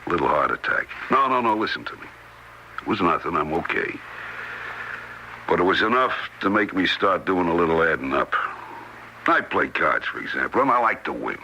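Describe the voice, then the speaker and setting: An actor playing a gruff, needling middle-aged card cheat with streetwise banter in a radio drama. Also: male voice, deep voice, big pitch swings, a little fast, long pauses, pushed and bright, heavily acted. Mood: subdued, confessional. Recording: noisy old radio drama recording.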